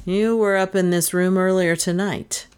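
A woman reader voicing a fierce male detective who jeers and presses hard, in a fairly low voice. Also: fairly even intonation, a little slow, clean tone, close to an everyday voice. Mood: hostile. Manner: jeering, forceful.

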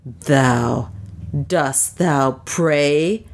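A woman's voice: very deep voice, full character voice. A woman reading a story, voicing a bitter, enraged young man who denounces his lover in archaic, venomous speech. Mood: fiendish scorn. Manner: venomous, drawn-out archaic denunciation. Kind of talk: dramatic audiobook storytelling.

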